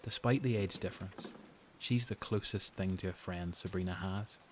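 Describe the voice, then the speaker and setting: An actor narrating a post-apocalyptic audio drama as a grave, measured storyteller with a Northern Irish accent. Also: male voice, sits low, a little hiss or hum, natural voice. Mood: descriptive. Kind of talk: scripted narration.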